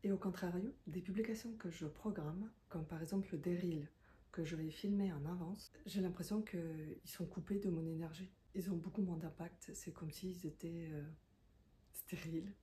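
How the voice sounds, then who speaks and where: Mellow-voiced woman, hesitant and confiding. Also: lowish voice, steady tone, moderate pace, a little hiss or hum. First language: not French. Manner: hesitant, many fillers and restarts; thoughtful and confiding. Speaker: content creator, self-employed. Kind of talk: reflective talking-head monologue.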